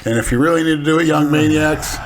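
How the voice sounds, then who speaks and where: A man's voice: fairly high pitch, quick pace, slight background noise, poor audio. A man co-hosting a podcast, opinionated and blunt with his advice. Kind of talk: casual podcast chat.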